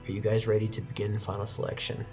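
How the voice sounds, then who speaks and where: A man's voice: lowish voice, flat delivery, fast, darkish tone. A man narrating a tabletop roleplaying game as its game master. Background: faint background music.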